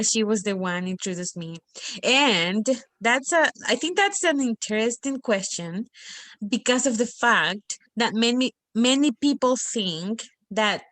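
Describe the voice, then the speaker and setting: Young salvadoran woman leading a class video call, native spanish, slow and friendly, dry close sound. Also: medium pitch, fairly bright tone, no echo. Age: young adult. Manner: unhurried, friendly, with small laughs. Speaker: student facilitating a class discussion.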